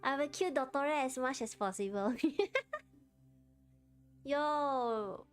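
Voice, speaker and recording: A woman with a Malaysian accent hosts a casual gaming livestream in a high-pitched voice. She chats playfully, repeating words and adding local particles, while music plays clearly behind her. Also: lively intonation, very slow. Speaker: game livestream host.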